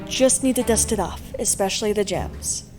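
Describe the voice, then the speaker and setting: A woman's voice: mid-range voice, animated, normal speed, natural voice. An actress playing a practical adult woman adventurer in an audio drama, speaking matter-of-factly. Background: music bed.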